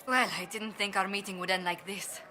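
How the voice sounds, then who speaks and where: A woman plays an earnest, principled diplomat in a fantasy game, formal and courteous in a mid-pitched voice. The sound rings with long hall reverb over faint noise. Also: steady tone, a little fast, natural voice. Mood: troubled, earnest. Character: refined, earnest, diplomatic young female ambassador. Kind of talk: video game cutscene acting.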